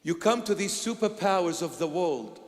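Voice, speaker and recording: A bishop from Iraq, preaching a sermon dramatically, swinging from loud exclamations to hushed lines. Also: male voice, sits high, lively intonation, moderate pace, big-room sound.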